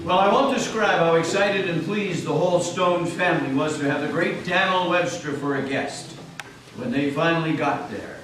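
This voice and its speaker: High-ish male storyteller in echoey hall. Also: moderate pace. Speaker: narrator. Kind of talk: dramatic storytelling, scripted narration. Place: large echoey meeting house indoors. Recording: echoey hall, distant mic, noisy.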